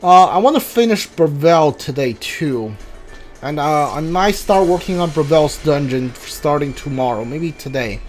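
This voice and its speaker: A streamer talks through a video game in a casual, halting way, thinking aloud. The pace is slow and drawn out, at a medium pitch, with game music playing behind the voice. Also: lively intonation. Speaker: gameplay streamer. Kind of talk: casual playthrough commentary. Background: video game music and sounds.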